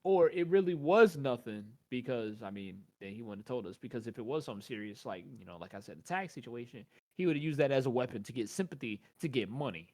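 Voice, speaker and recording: A male co-host talking through a long, wandering point at a middling pitch, packing his speech with "like" and "you know." He sounds relaxed and conversational. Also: fast talker, few pauses. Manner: rambling, many fillers and asides. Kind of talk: casual commentary chat.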